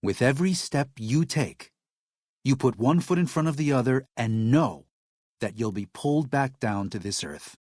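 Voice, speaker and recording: A man's voice: mid-range voice, animated, normal speed, a little mellow, dry recording, clean studio sound, natural voice. An actor playing a devout, weary missionary man in a video game, speaking with earnest gravity. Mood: earnest, reverent. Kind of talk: video game character dialogue.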